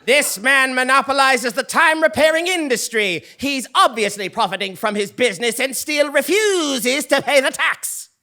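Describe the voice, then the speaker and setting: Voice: high-pitched, animated, normal speed, few pauses, bright, forceful tone, full character voice. A performer playing a proud, snobbish, indignant royal tax collector in a live comic stage audio play, with a blustering, theatrical manner. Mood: deferential protest.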